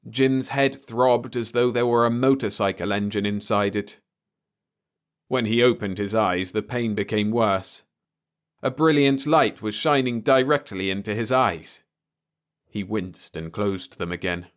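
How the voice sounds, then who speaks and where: Smooth, clear male audiobook narrator. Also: lowish voice, measured pace. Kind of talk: scripted story narration.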